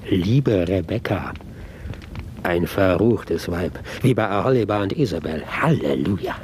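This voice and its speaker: A man's voice: low voice, lively intonation, a little slow, darkish tone, slight background noise. A man playing a fierce, pious preacher turned rebel in a German radio play, declaiming in biblical tones. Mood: exultant.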